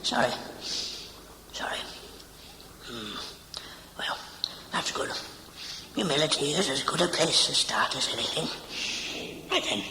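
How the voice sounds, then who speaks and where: A man's voice: mid-range voice, animated, unhurried, long pauses, in a whisper, natural voice. An actor playing a cantankerous older male healer who scolds and mocks in colloquial speech with hectoring "eh?" tags, in an audio drama. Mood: gruff. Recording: very echoey room, clear background noise.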